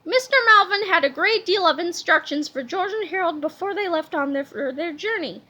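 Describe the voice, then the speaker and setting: A woman reads a children's book aloud. Her voice is very high and bright, and she tells the story expressively. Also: lively intonation, moderate pace. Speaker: storybook reader.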